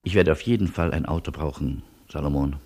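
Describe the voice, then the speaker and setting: An actor playing a wry, anxious middle-aged refugee in a radio play, reasoning with deliberate irony and old-world courtesy. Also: male voice, extremely low pitch, dark tone. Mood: ironic storytelling. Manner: deliberate, pointed, ironic reasoning. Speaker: lead character and narrator. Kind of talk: theatrical radio play acting and narration.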